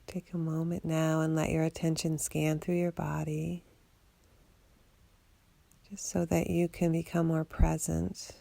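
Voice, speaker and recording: A woman guiding a body scan meditation for sleep in a soothing, unhurried, gently instructing way. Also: deep voice, monotone, creaky, no echo. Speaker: meditation guide.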